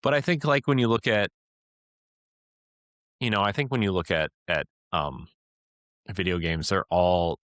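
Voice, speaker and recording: A man with a low voice talks casually as a podcast host. His delivery rambles and he keeps checking in with the listener. The sound is close and dry, with no room echo. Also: measured pace, pauses often, fairly bright tone.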